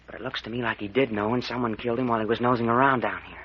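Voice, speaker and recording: An actor playing a worried, secretive young university student in an old-time radio mystery, earnest and guarded. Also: male voice, mid-range voice, fairly even intonation, very fast, lightly acted. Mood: urgent, worried. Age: young adult. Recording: dull, noisy vintage radio recording.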